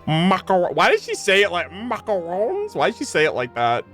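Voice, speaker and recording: A man's voice: high-pitched, wildly swooping pitch, normal speed, a bit bright, soft background music. A man giving casual, slangy live reactions while playing a game, muttering asides on a close mic. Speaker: game streamer.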